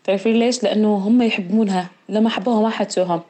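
Fast-talking woman with a Deir ez-Zor accent. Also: mid-range voice, fairly even intonation, mellow. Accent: Deir ez-Zor Euphrates Arabic. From eastern Syria. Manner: chatty, laughs often. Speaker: podcast co-host. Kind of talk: casual chat.

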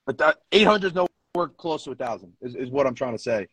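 A man co-hosting a casual sports podcast, talking in a halting way, circling back over phrases and swearing casually. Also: medium pitch, animated, a bit bright, husky, no echo, rough recording. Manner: halting, repeats phrases as he works out a point. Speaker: podcast co-host; competitive powerlifter.

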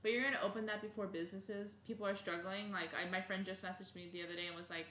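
A woman's voice: mid-range voice, steady tone, quick pace, a bit bright, a little hiss or hum. Chatty young woman in an echoey room. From Arizona, born in Indiana. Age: 20s. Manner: chatty, laughing, defensive. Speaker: podcast co-host. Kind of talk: casual chat.

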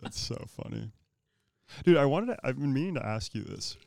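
A man co-hosting a casual podcast chat, teasing with dry jokes and quick questions. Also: medium pitch, lively intonation, creaky, darkish tone, no echo. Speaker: podcast co-host.

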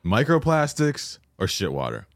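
A man's voice: mid-range voice, huge pitch swings, normal speed, no echo, studio quality. An American man in casual podcast chat, arguing his points in a wry, rambling way. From United States. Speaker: podcast co-host. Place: podcast studio.

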